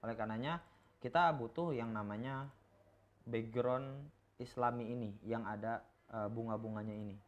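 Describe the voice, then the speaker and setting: A man giving an informal software tutorial, explaining in a halting, self-repeating way as a teacher. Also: medium pitch, fairly even intonation. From Indonesia. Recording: dull lo-fi microphone, clear background noise.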